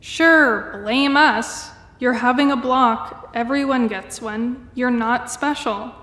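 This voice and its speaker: A woman living in a writer's head, in an audio drama. Her high, slow voice echoes as she bluntly teases. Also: fairly bright tone. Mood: blunt, teasing. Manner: blunt, teasing. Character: imagined woman character, coolly blunt, teasing her author. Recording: echoey, long reverb effect.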